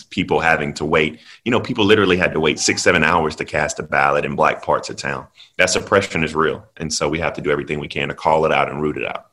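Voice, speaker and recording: Very deep-voiced man, earnest. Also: bright, forceful tone. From South Carolina, US. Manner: earnest, measured storytelling with frequent ums. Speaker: guest political commentator. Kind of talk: podcast interview.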